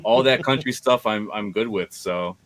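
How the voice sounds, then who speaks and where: A man with a deep voice, chatting casually as a guest voice actor on a live stream. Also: normal speed, fairly bright tone. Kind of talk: casual chat.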